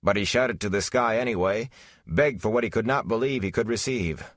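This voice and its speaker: Low-voiced man narrating fantasy, dramatically. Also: no room sound, studio quality. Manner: solemn, measured, dramatic. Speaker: audiobook narrator.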